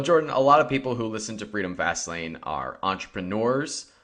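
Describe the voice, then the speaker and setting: A man's voice: lowish voice, fairly bright tone. A man hosting a podcast, giving an upbeat motivational intro and then interviewing his guest. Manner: upbeat, motivational, laughs easily. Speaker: podcast host.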